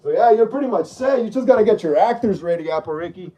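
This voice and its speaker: A man in his thirties with a fairly high yet mellow voice, hosting a laid-back livestream. He reads out viewer comments and answers them in a repetitive, slangy way. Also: animated, quick pace, dull audio, a little hiss or hum. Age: 30s. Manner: chatty and repetitive, uses slang like bro and dog. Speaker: livestream host. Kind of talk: casual live-stream chat reading viewer comments.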